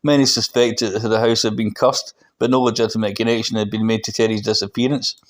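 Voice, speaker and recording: A man's voice: on the low side, flat delivery, fairly quick. Man reading a story aloud. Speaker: author reading own book. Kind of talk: audiobook-style story narration with dialogue.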